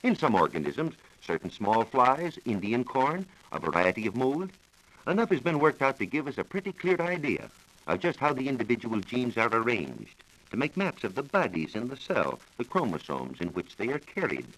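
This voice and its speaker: An American man giving a scripted science talk on 1940s radio, explaining in a measured, formal manner. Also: medium pitch, sing-song, a bit bright, rough recording. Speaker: science reporter explaining research. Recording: old 1940s radio broadcast recording.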